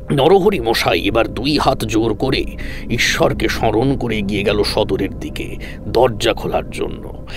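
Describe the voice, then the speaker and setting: A man narrating a horror audio story in the first person with measured, suspenseful, dramatic delivery. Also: lowish voice, creaky. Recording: music under the voice. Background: background music.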